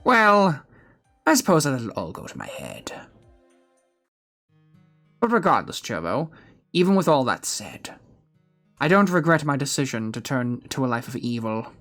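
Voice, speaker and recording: A man acts out an aged criminal gentleman with a bright, wide-swinging delivery. He is pompous and gleefully wicked, with a sentimental streak, telling his game story theatrically over faint music. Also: medium pitch, sing-song, unhurried, pauses often. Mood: gleeful, smug. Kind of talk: dramatic reading of game story dialogue.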